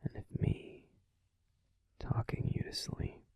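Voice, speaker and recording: A man playing a grumpy but tender werewolf boyfriend in an intimate, slow, soothing whispered sleep-aid roleplay. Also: darkish tone, no echo, close to an everyday voice. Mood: tender, reassuring. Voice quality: breathy, husky whisper.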